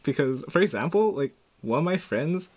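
A man's voice: fairly high pitch, expressive, normal speed. A young man with a Canadian accent, interviewing a fellow student in a casual, playful way and laughing a lot. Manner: playful, laughs often, quotes himself.

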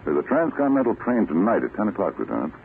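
Deep gravelly commanding army general. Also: male voice, wildly swooping pitch, exaggerated voice. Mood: grave, deliberate. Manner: deliberate, authoritative, pausing. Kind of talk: 1940s radio acting. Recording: muffled, hissy vintage radio recording.

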